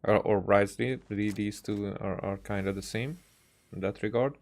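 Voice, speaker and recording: A man with a fairly low voice streams a game. He comments casually and haltingly, doubling back on his words as he thinks out loud. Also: steady tone, gravelly. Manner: halting, repeats and restarts phrases. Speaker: livestreamer playing a game.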